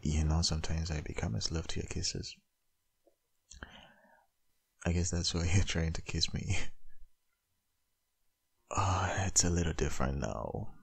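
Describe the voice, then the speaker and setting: Man acting a very deep, husky boyfriend. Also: moderate pace, heavily acted. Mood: affectionate. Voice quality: husky, gravelly, breathy. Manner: soft, intimate murmuring, coaxing. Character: doting, protective, gently dominant boyfriend. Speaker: ASMR roleplay performer. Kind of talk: boyfriend roleplay ASMR, intimate and slow.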